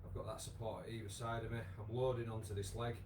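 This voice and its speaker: Man, croaky, lowish voice, chatty instructor, faint room hum. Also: level tone, fast. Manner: chatty, encouraging, lots of fillers. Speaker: exercise class instructor. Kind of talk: live online exercise class. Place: home room with wall and bar. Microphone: device camera, moves away at times.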